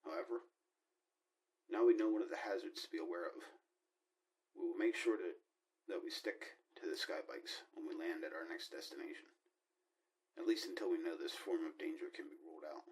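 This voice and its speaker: A man playing a grave, cautious starship leader in a sci-fi audio drama, measured, formal and soft-spoken. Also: low-pitched, fairly even intonation, close to an everyday voice. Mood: calm, commanding. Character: grave, cautious male leader of a spaceship expedition.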